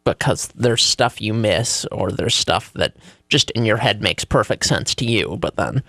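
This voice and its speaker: A man's voice: on the low side, animated, a little slow, vocal fry, pushed and bright, no room sound. A man co-hosting a radio show, talking casually with dry, wry humor. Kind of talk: casual chat.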